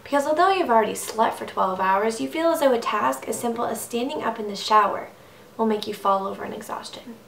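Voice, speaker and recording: A woman's voice: on the high side, fairly quick, creaky, a bit bright. A young adult woman performing a slam poem in an earnest, emphatic, rhythmic style. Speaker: slam poet. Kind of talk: slam poetry recitation. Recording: roomy, distant mic, faint noise.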